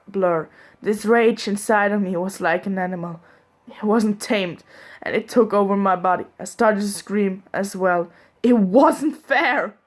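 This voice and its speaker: A young woman reading fanfiction aloud in the first person, sometimes giggling and breaking off to joke, with faint music behind her. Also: medium pitch. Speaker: fanfiction reader.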